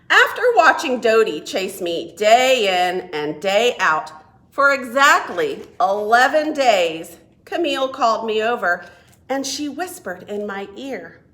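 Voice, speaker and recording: A woman with a fairly high voice reads a picture book to small children. Her delivery is very animated, with big swings in pitch. She takes her time, pacing each phrase slowly and deliberately in an expressive storytime style. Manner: expressive, deliberate, asks listeners questions. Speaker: children's librarian and storytime reader. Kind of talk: reading a picture book aloud to young children.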